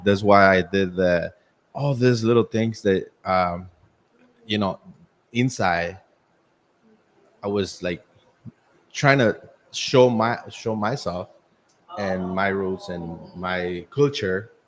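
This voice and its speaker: A man from Mexico City with a Mexican accent, a restaurant owner telling his story in a halting, filler-heavy way as a guest in a casual chat. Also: low voice, steady tone, a little hiss or hum. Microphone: shared handheld mic.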